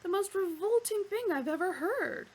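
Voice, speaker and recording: A rattled, squeamish young man objects in high, agitated bursts, as a woman acts him out. The pitch leaps around as he protests. A slight gravelly edge catches some words. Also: big pitch swings, moderate pace. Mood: flustered protest. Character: flustered, squeamish young Englishman.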